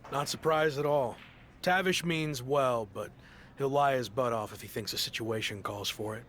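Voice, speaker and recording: An actor playing a weary, determined pro wrestler in a video game cutscene, speaking in a dry, matter-of-fact way. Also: male voice, medium pitch, moderate pace, fairly bright tone, a little hiss or hum, lightly acted.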